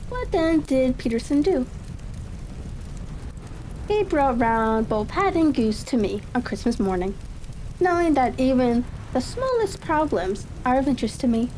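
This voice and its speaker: A woman with a fairly high, very mellow voice reads a story in a calm ASMR style. Her phrasing is unhurried and a little halting. A faint fireplace-like crackle runs behind the voice. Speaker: audiobook reader. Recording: steady rain-like crackling ambience behind voice. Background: fireplace crackle and ambient noise.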